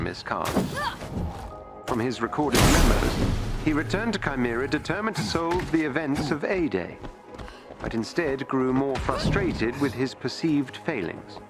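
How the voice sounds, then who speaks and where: A man acting as a courteous artificial-intelligence butler in a video game. He is formal and measured in manner, with a lively mid-pitched intonation under loud music and gunfire. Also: close to an everyday voice. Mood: composed, solicitous. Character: courteous, unflappable male AI butler assistant.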